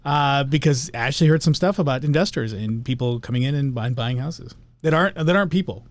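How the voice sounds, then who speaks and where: A man's voice: mid-range voice, animated, brisk, no echo. A man hosting a casual show, loose and teasing, joking with his co-host. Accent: American. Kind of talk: casual chat.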